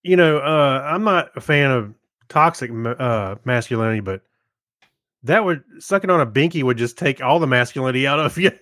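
A man's voice: medium pitch, lively intonation, brisk, a bit bright, no room sound. Easygoing man, dry close podcast sound. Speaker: podcast co-host. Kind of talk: casual chat and reading out items.

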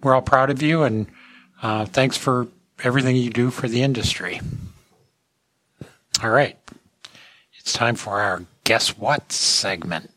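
A man's voice: mid-range voice, measured pace, long pauses, sharp tone. A man hosting an industry podcast in a casual talking style. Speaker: podcast host.

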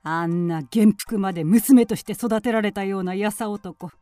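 A woman's voice: medium pitch, normal speed, dark tone, dry recording, soft background music, lightly acted. A woman playing a spiteful, suspicious middle-aged aunt in a period radio drama, with a haughty, sneering, commanding manner. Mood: scornful, suspicious. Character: spiteful, suspicious aunt and mistress of a village household.

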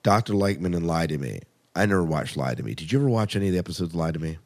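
A man's voice: low voice, animated, very fast. A man co-hosting a podcast, casually reviewing TV in an enthusiastic, conversational way and repeating phrases for emphasis. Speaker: podcast co-host.

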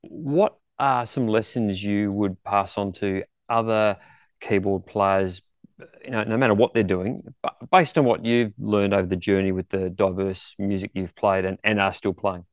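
A man's voice: lowish voice, steady tone, measured pace, dry recording. A man hosting an interview in a conversational way, encouraging his guest with wordy, thoughtful lead-ins. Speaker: interview host.

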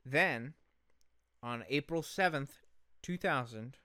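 A man's voice: mid-range voice, slow, pushed and bright, dry recording, studio quality. A man co-hosting a comedy podcast, telling a researched story casually, with emphatic, profane delivery. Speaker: podcast co-host.

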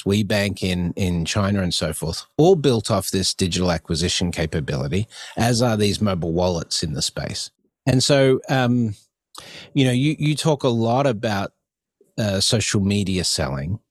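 Male podcast host, low voice, conversational and discursive, close dry sound. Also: no echo. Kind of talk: conversational interview.

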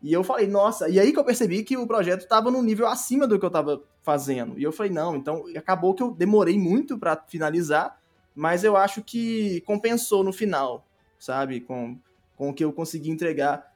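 A man's voice: sits high, expressive, brisk, soft background music. A Brazilian man talking casually as a podcast guest about his creative work, enthusiastic and often repeating phrases as he explains.

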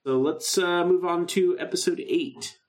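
A man's voice: sits high, unhurried. A man co-hosting a podcast, chatting casually and enthusiastically like a fan reviewing a show. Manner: enthusiastic fan, acts out lines in asides. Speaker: podcast co-host. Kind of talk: casual chat reviewing a radio drama.

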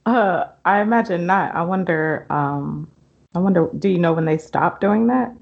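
Soft-spoken woman podcast host. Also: fairly low pitch, expressive, moderate pace, creaky, breathy edge, lo-fi sound. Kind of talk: podcast interview.

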